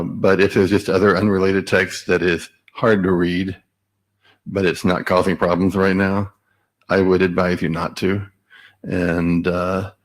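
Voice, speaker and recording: Male meeting participant, very low and flat delivery, measured explanatory tone. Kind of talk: technical meeting comment. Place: standards meeting room.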